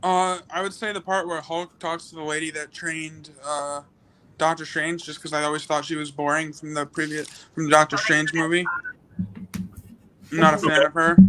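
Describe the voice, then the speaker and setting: A man speaks as a casual panelist in an even, unvarying tone. His voice is bright and a bit high, and his opinions come out bluntly. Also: rough recording. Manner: flat, blunt.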